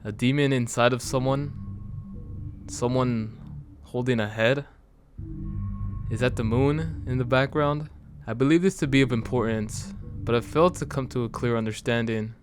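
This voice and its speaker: A man giving a measured, serious scripted narration in a storytelling manner, as the narrator of an analysis video. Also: medium pitch, steady tone. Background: music under the voice.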